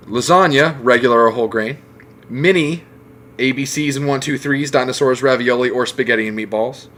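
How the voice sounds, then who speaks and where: A man's voice: medium pitch, a little fast, a bit bright. A man talking casually as a guest on a group podcast. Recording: faint background noise.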